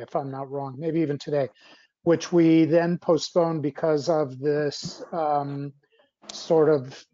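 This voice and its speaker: A man's voice: fairly high pitch, mellow, a little hiss or hum. A man speaking informally as a school board chair in an online meeting. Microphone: video call. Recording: dull lo-fi video-call audio.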